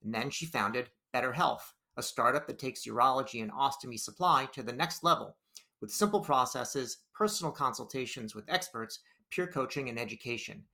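A man with a bright, forceful voice hosts a business podcast. He reads his introduction smoothly, then asks his questions loosely, with small restarts and fillers. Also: mid-range voice, moderate pace. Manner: conversational, with fillers and restarts when asking. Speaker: podcast host.

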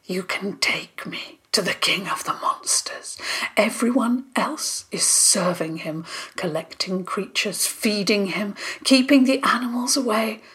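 A woman voicing a very old spiky forest creature in a storybook, with a hoarse, whispery voice. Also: mid-range voice, a little slow, a bit bright. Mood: pleading.

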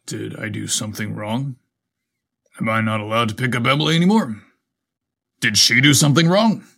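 A man playing a flustered, lovestruck adult fire captain with a halting manner in a story reading. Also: medium pitch, creaky, a bit bright. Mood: anxious. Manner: halting, stammering.

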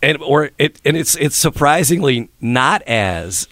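A man hosting a pet radio show in casual chat, his voice hoarse and froggy, rambling with many restarts. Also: mid-range voice, lively intonation, unhurried, fairly bright tone, dry recording.

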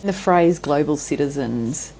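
A woman's voice: deep voice, animated, a little slow, a little mellow, slight background noise, rough recording. A woman hosting an interview, asking questions in a friendly, encouraging way. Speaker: podcast host. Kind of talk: interview chat.

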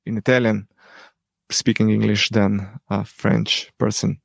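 Slow, gravelly man with frequent ums. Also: fairly low pitch, little pitch movement, dry recording. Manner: hesitant, many ums and pauses. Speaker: teacher and podcast guest. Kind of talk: unscripted interview answers.

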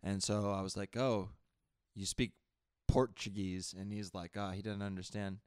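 A boisterous, opinionated Texan man banters on a podcast, laughing often as he pushes his views. His voice sits low and sounds dry and close, with no room around it. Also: little pitch movement, moderate pace, darkish tone, clean tone, no room sound. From Texas. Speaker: podcast co-host. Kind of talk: casual banter.

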